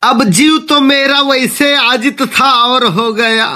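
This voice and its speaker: A man's voice: very high-pitched, steady tone, takes it slowly, pushed and bright, full character voice. A man voicing a grumbling, exasperated village father in a comic cartoon, with a sarcastic, complaining manner and an Awadhi accent.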